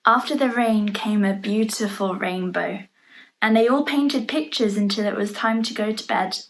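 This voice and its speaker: A woman reading a picture book aloud for young children, playfully expressive, adding chatty asides and questions about the pictures, in a noisy home recording. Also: medium pitch, moderate pace. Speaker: storybook reader for young children. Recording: noticeable background noise, home online recording.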